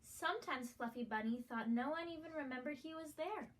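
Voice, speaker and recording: A woman's voice: high voice, brisk, a little hiss or hum. A female guest reader reading a children's picture book aloud.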